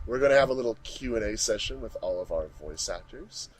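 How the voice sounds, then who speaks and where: A man's voice: on the low side, expressive, brisk, a little hiss or hum. A man hosting a casual, chatty commentary track among friends. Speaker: host of fandub commentary track.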